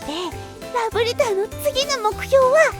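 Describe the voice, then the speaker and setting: Bubbly anime schoolgirl: extremely high voice, lovestruck, earnest and scatterbrained, with loud music underneath. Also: moderate pace. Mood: eager, earnest. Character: eager, lovestruck, absent-minded teenage schoolgirl. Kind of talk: Japanese anime-style voice drama.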